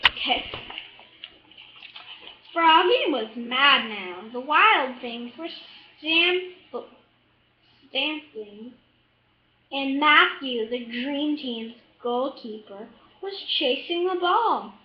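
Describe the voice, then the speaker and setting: A child reading a picture book aloud in a halting, expressive way, adding little asides to the listener. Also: sits high. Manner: halting, expressive, with drawn-out words and excited shouts. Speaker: storybook reader. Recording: echoey room, slightly dull sound.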